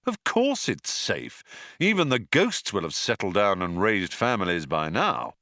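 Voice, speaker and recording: Man doing a loud, cocky space adventurer. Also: on the low side, wildly swooping pitch, measured pace, no echo, clean studio sound, full character voice. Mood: dismissive. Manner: loud, punchy, impatient. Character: brash, cocky, excitable male space adventurer.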